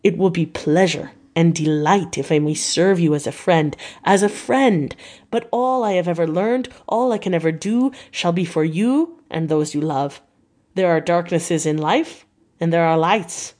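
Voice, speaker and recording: A woman's voice: lowish voice, a little slow, darkish tone. An old doctor from a gothic audiobook, acted out by a woman. He is grave yet effusive and kindly, stressing his words in formal, broken English. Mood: solemn, effusive.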